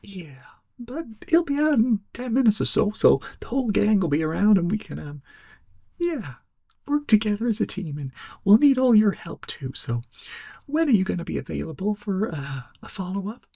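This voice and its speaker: A man playing a laid-back, cowardly, goofy young amateur sleuth in a soft-spoken ASMR roleplay, rambling hesitantly in a breathy, cracking stoner drawl. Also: sits high, very animated, moderate pace, exaggerated voice. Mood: hazy, apologetic. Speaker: ASMR performer.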